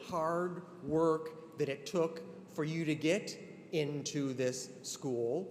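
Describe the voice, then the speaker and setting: A man's voice: fairly high pitch, slight background noise. An older man giving an earnest, conversational ceremonial address, with many restarts. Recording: long hall reverb, faint ambience.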